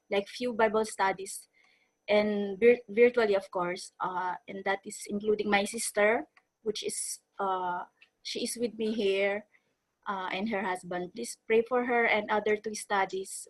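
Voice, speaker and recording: A woman's voice: medium pitch, a bit bright, poor audio. A woman who works as an accountant and leads a church ministry, speaking on a video call in a hesitant way with many fillers. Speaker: accountant, singles ministry leader. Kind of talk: casual video call sharing.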